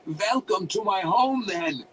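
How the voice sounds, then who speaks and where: A hysterical old hermit wizard, pitched well up into a shriek. He rants in broken grammar, cranky and paranoid, with wildly swinging intonation and faint noise behind. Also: sing-song, unhurried. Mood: explosive triumph. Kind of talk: audiobook character voice.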